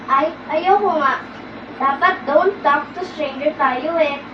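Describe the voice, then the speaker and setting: A woman's voice: high-pitched, takes it slowly, dull audio, noisy background. A child voicing a rude, snobbish young girl in a school puppet show. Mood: bratty. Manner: bratty and scornful, then apologetic. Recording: distant echoey room with music.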